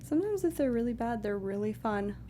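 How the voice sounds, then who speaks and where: A woman chatting casually and agreeably as a guest on a talk show, quick to laugh. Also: on the high side, animated, mellow. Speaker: guest co-host. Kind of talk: casual chat.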